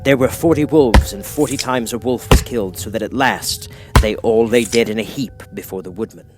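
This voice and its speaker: A man reading a children's audiobook as narrator, with expressive, dramatic storytelling. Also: lowish voice, moderate pace, over music.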